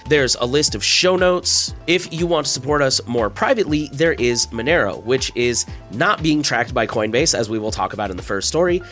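A man's voice: medium pitch, a bit bright. A man hosting a news podcast, a little scratchy and stuffy-nosed from a cold, reading stories with casual asides. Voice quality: slightly scratchy. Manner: plain, conversational, with asides. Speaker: podcast host.

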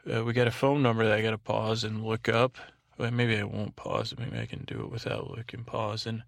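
A man's voice: medium pitch, vocal fry, a bit bright, dry recording, clean studio sound. A man hosting a bedtime podcast, talking in a droning, meandering monologue with hesitant false starts. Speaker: podcast host.

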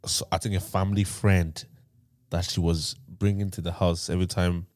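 A man's voice: deep voice, little pitch movement, normal speed, darkish tone, no echo, studio quality. A man casually telling a story on a podcast, rambling with fillers and restarts. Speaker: podcast host. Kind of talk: casual chat.

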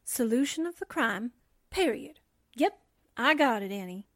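A woman's voice: on the high side, lively intonation, measured pace, a bit bright, a little hiss or hum. A woman playing a chirpy, wisecracking newsroom assistant with a familiar, joking manner, in 1940s radio drama style. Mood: perky, curious.

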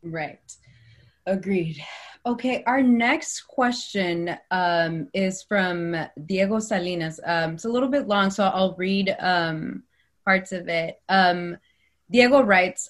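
A woman moderating a Q&A, reading out audience questions in a plain, measured way, with short "um" pauses between phrases. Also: fairly low pitch. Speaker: event moderator.

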